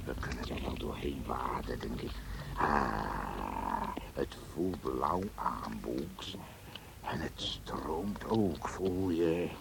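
Dramatic, slow-talking adventurer captain. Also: male voice, a bass voice, expressive, creaky, heavily acted. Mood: tense. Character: adventurous captain with a sword, grave, breathless, bellowing in fights. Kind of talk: Dutch radio play acting, dramatic. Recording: noisy radio play soundscape.

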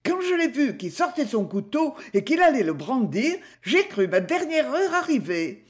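A woman's voice: high voice, sing-song, moderate pace, voice pushed up. A man voicing a frightened, plump woman butcher who dramatically retells being threatened with a knife. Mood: shaken, dramatic. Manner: breathless, overdramatic retelling.

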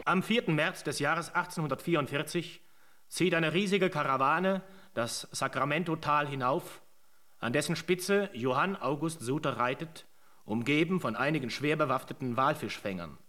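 A formal, higher-pitched radio chronicler. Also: male voice, normal speed, sharp tone. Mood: measured. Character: chronicler narrating a colonial history. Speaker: narrator. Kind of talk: scripted radio drama narration.

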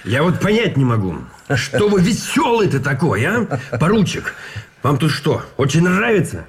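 A stern colonel in a radio play. He speaks in a fairly high, very animated voice, indignant and commanding, quick to take offence. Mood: irritated. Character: stern, irritable army colonel. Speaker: actor in a radio drama. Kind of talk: Russian radio drama acting.

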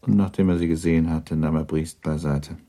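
A man's voice: very deep voice, steady tone, a little fast, warm tone. A man narrating a literary radio drama in a sober, composed manner.

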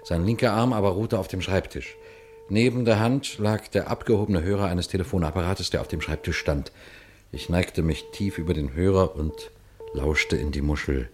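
An actor playing a composed, shrewd police inspector who narrates and questions matter-of-factly in a radio crime play. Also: male voice, low voice, a little mellow, close to an everyday voice. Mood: measured, observant. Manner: matter-of-fact, measured, inquisitive. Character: composed, shrewd male police detective inspector telling his case. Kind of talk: German radio crime play, first-person narration and dialogue.

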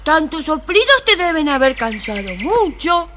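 A woman voicing a quick-witted, mocking little pig in a sing-song, taunting manner for a children's story record. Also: sits high, big pitch swings, bright, forceful tone, heavily acted.

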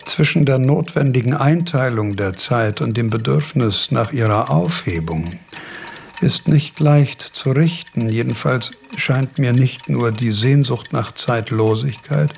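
An actor playing an aging, eccentric philosopher in a German radio play, lecturing in a pensive, deliberate, morbidly musing manner. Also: male voice, medium pitch, gravelly, a little mellow, a little hiss or hum.

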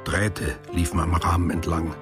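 Low-voiced male narrator over music. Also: steady tone, normal speed. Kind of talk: literary scripted narration in a radio drama.

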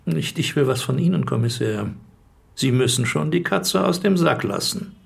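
A man playing a guarded, worldly bank director in a radio drama, with a creaky voice and polished, formal delivery. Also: fairly high pitch, expressive, normal speed, heavily acted. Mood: guarded, aloof.

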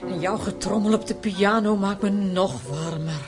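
A woman's voice: mid-range voice, normal speed, music in the background, close to an everyday voice. A woman playing an irritable, long-suffering wife who complains fretfully in a radio drama. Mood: fretful, complaining.

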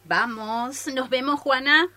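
Woman doing naive helper in a radio play, argentine, high pitched, earnest and reassuring. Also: slow pace, sharp tone, no echo, exaggerated voice. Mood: earnest, coaxing. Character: naive, eager female accomplice of a reckless experimenter.

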